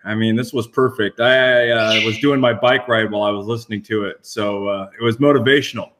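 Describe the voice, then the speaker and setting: A man's voice: on the low side, fairly even intonation, fairly quick. A man chatting casually and enthusiastically as a panel guest, repeating phrases for emphasis. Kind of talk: casual chat. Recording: clear background noise with clicks.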